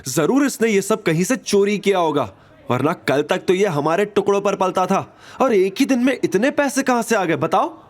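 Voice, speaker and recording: A man's voice: sits high, lively intonation, normal speed, pitched above the performer's own voice. A man voicing a spiteful, scheming middle-aged mother-in-law, goading and scornful in an audio drama. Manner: goading, accusing.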